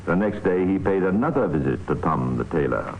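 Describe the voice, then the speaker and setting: A man's voice: low-pitched, expressive, fairly quick, music in the background. A man playing an authoritative narrator and guide in an old radio crime drama. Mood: grave storytelling. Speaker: narrator and host. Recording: dull, echoey, noisy old radio recording.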